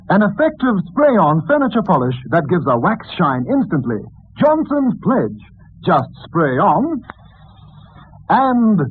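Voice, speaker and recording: High, husky male radio ad announcer. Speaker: commercial announcer. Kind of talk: scripted vintage radio advertisement. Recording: thin narrowband vintage radio recording.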